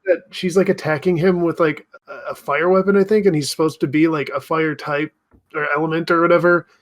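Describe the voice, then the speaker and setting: A man's voice: a little high, steady tone, brisk. A man co-hosting a podcast in casual, informal chat with an amused manner. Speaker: podcast co-host.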